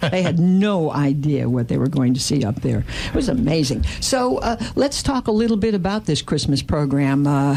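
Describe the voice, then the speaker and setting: A woman's voice: low-pitched, warm tone. A woman hosting a live radio interview in a studio, effusive and emphatic, often repeating herself for emphasis. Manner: effusive, complimentary, emphatic repetition. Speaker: radio host interviewing guests. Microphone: studio microphone.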